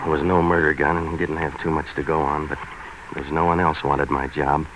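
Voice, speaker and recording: An actor playing a hard-boiled, wisecracking private operator in a deadpan, sardonic, clipped 1940s radio noir style. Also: male voice, very deep voice, fairly quick. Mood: deadpan, brooding. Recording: noisy vintage 1940s radio recording.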